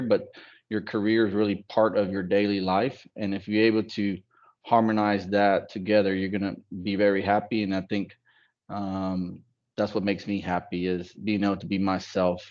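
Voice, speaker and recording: A man who grew up in Dallas, a partner at an accounting firm, talking in a relaxed, reflective way with many fillers on a video call. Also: low voice. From Dallas, United States. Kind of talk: casual panel talk on a video call. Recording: dull lo-fi call audio.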